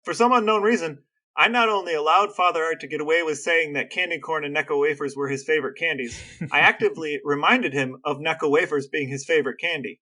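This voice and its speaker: A man's voice: a little high, fast, sharp tone, low-quality recording. A man co-hosting a casual podcast with his brother, wry and mock-formal in tone. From American Midwest. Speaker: podcast co-host. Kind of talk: casual chat between brothers.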